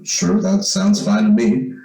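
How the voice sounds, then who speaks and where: A man's voice: high voice, very animated, unhurried, creaky, mellow, heavily acted. A man playing a bashful, awkward teenage son in an old-time radio drama, stammering and hesitant, with boyish slang. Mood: nervous, hesitant. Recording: roomy, echoing stage-like sound.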